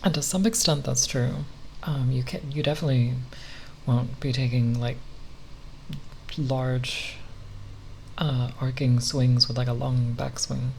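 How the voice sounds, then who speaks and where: A soft-spoken ASMR creator rambling unscripted, halting and often pausing mid-phrase. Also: mid-range voice, steady tone, creaky, a little hiss or hum.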